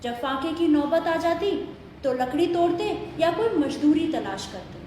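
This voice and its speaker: A woman's voice: high-pitched, expressive, moderate pace, a little mellow. A woman narrator reading a scripted story for an audio play. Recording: very echoey room, faint background noise.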